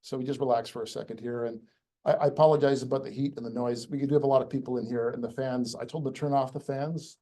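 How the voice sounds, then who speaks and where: A man hosts a live pitch session in a crowded room and talks in a chatty, rambling way, often restarting his sentences. His voice is dark and mellow at an average pitch. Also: steady tone, fast. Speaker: event host.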